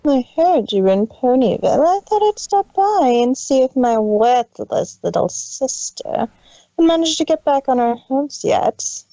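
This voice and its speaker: A man's voice: extremely high pitch, very animated, a little slow, mellow, no echo, muffled recording, voice pushed up, exaggerated voice. A man voicing a cold, cruel, haughty older-sister pony mare in a story reading, contemptuous and scolding in manner.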